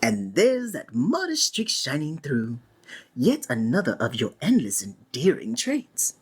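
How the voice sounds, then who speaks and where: A woman playing a flamboyant, courtly and sinister stage magician in a theatrical ASMR roleplay, with flowery, drawn-out, flattering phrasing. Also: low voice, husky, exaggerated voice. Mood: adoring, flattering.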